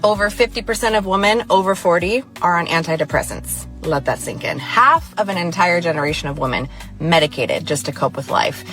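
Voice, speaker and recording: A woman delivers scripted narration in a grave, emphatic way. Her voice is very low. Faint music runs behind her. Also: lively intonation, a little fast, fairly bright tone.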